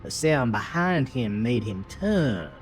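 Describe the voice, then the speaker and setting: A man's voice: medium pitch, big pitch swings, slow pace. A man narrating a horror story in a theatrical, suspenseful manner. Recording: music under the voice throughout. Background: prominent music bed.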